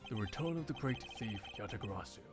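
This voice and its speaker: A man voicing a composed, erudite prosecutor in a video game fan dub, speaking in formal, measured, dramatic phrases. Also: lowish voice, expressive, music in the background, pitched below the performer's own voice, natural voice. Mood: brooding.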